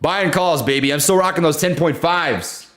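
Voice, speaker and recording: A young man hosting a casual livestream, talking loudly and excitably while reading chat. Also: on the high side, moderate pace. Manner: loud, excitable, says he always yells. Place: home room.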